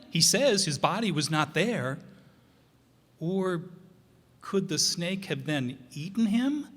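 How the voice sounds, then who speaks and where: Man, informal presenter, slightly raised pitch, emphatic questioning phrasing, hall reverb. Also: fairly bright tone. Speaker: radio players' presenter. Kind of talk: informal talk to an audience after a play.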